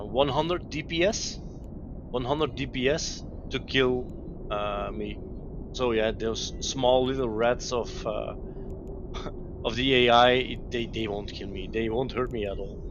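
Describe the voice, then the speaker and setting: Slow, halting Belgian-born male streamer. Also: mid-range voice. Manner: halting, many fillers and restarts. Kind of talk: live-stream gameplay commentary. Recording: dull lo-fi mic with clear noise.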